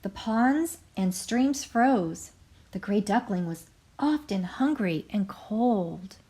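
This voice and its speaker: A woman teacher reads aloud for young children. Her pace is unhurried and her pitch moves a lot, with careful, expressive wording meant for little learners.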